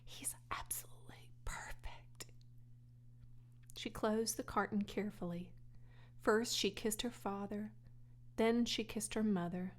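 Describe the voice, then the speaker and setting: A woman's voice: medium pitch, fairly even intonation, measured pace, slight background noise. A woman reading a children's storybook aloud as a scripted audiobook narration. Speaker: audiobook reader.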